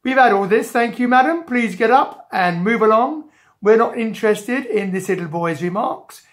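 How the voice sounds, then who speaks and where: A woman's voice: a little high, lively intonation, moderate pace, close to an everyday voice. A woman voicing a pompous, bossy policeman in a children's story, blustering and officious. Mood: officious, dismissive. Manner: blustering, officious, repeated stock phrases. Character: pompous, bossy male policeman, mocking and self-important.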